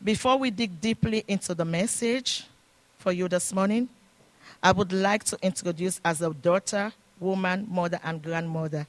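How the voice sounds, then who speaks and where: A woman's voice: fairly low pitch, moderate pace. An older woman with a foreign accent, a grandmother and guest speaker, praying fervently and preaching at a church service. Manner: fervent, repetitive prayer invocations. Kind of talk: prayer and sermon. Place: church sanctuary. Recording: echoey church room with music.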